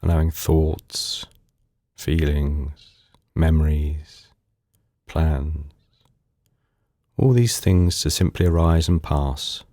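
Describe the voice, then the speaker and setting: Super deep male voice doing guided meditation, slow soothing phrases w pauses, really dry sound. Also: dry recording. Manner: calm, unhurried, soothing instruction in short phrases with pauses. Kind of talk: guided body scan meditation.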